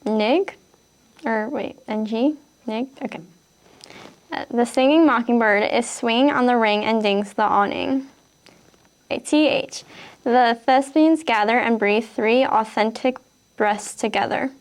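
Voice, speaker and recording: A woman's voice: a little high, unhurried. A 19-year-old woman with a Wisconsin accent, reading a word list and then answering interview questions hesitantly, with many fillers. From Kenosha, Wisconsin.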